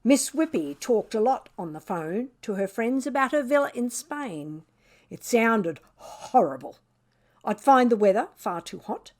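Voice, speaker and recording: A woman's voice: a little high, animated, a little slow, darkish tone. A woman voicing a vain, grumbling pet cat telling its story in a children's book, with dramatic, sardonic emphasis. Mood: disdainful.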